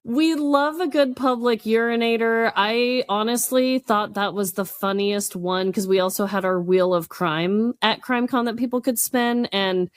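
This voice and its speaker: A woman's voice: fairly high pitch, measured pace, hardly any pauses, clean tone, no echo. A woman co-hosting a comedic podcast, chatting casually in a giggly, playfully teasing way. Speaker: podcast co-host.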